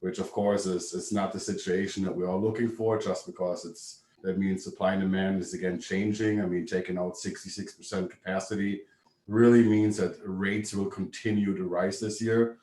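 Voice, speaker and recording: A man with a German accent, giving a brisk, matter-of-fact business presentation on a video call. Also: low voice, flat delivery, warm tone. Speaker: webinar presenter. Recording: echoey room, distant mic.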